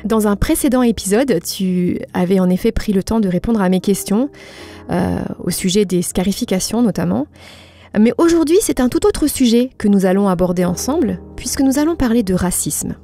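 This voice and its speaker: A woman hosting a podcast, putting prepared interview questions in a polite, measured, formal way. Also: medium pitch, expressive, warm tone.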